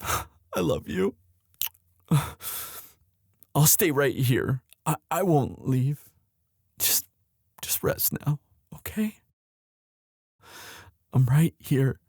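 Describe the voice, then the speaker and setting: A man playing a lovestruck young prince in an intimate audio roleplay, tender and protective, with a halting, emotive delivery. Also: medium pitch, sing-song, a little mellow, husky, no echo, studio quality, exaggerated voice. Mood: tender, hushed. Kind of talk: boyfriend ASMR audio roleplay drama.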